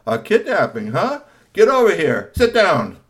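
A man's voice: fairly high pitch, big pitch swings, brisk, voice pushed up. A man voicing a stern, bossy male police officer who barks orders. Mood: commanding. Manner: loud and commanding.

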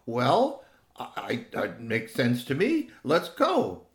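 A man's voice: mid-range voice, wildly swooping pitch, takes it slowly, echoey room. A man voicing a small lost baby owl in a children's story, earnest and polite, exclaiming and repeating words. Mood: agreeable.

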